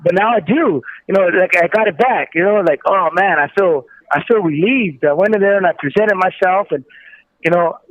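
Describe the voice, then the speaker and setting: A man's voice: high voice, moderate pace, no echo. A man calling in over a phone, talking casually and earnestly, with emphatic repeated phrases. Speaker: guest calling from prison. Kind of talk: casual, testimonial talk. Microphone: telephone handset. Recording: tinny prison phone line.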